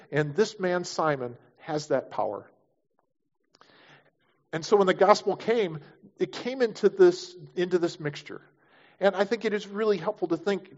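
A pastor delivering a sermon in an easy, conversational way, slipping into little voices for the people he quotes. His voice sits a touch high for a man. The recording is dull and lo-fi.

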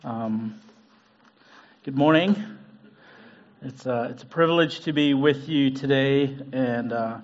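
A man's voice: mid-range voice, slow, long pauses, highs cut off. Hesitant man preaching. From Zambia. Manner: hesitant, many fillers and restarts. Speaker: guest preacher. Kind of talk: conversational sermon. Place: church sanctuary.

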